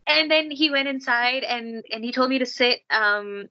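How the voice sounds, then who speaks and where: A woman from Canada, a singer and podcast guest, telling an animated anecdote and laughing often. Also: a little high, moderate pace, no echo, muffled recording. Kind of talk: casual anecdote in an interview.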